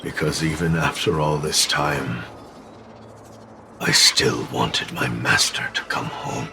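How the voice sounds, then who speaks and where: An actor playing an ancient demon bound in a sword in a game, solemn, weary and sorrowful, with a gravelly voice. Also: male voice, background noise, full character voice. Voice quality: very deep, gravelly. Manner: solemn, slow, deliberate. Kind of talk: dramatic game dubbing. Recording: music under the voice. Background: music.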